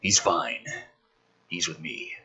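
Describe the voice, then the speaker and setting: A man voicing a gruff, guarded soldier father from a film script, with clipped, muttered lines. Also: deep voice, lively intonation, slow pace, bright, forceful tone, voice pushed down, heavily acted. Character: gruff, terse soldier dad, baffled and guarded.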